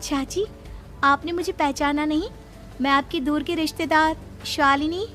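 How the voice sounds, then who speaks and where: Woman playing high-pitched plaintive young woman. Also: fairly even intonation, unhurried, fairly bright tone, soft background music. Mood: pleading, ashamed. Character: distressed, pleading pregnant woman of twenty-six, horror cartoon.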